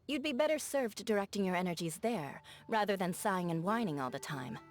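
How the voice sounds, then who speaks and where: A woman's voice: medium pitch, expressive, brisk, natural voice. A woman voicing a proud, blunt young knight with a formal manner in a video game. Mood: brusque, encouraging. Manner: haughty, formal, awkwardly kind. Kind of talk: scripted game voice acting.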